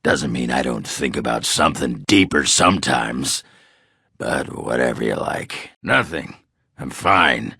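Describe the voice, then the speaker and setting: Gruff, crude dwarf berserker for a fantasy game: extremely low, raspy, blunt and hard-drinking. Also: male voice, lively intonation, unhurried, bright, forceful tone, no echo, clean studio sound, exaggerated voice. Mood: rueful, brooding. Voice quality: gravelly, raspy. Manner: crude, blunt, swearing, grunting. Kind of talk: video game character acting.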